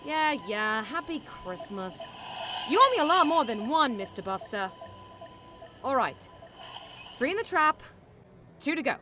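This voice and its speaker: A woman's voice: high voice, very animated, a little slow, pauses often, noisy background. A woman playing a bossy, feisty wizard ghost-hunter in a radio drama, sardonic and exclamatory. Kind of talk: fan radio drama acting.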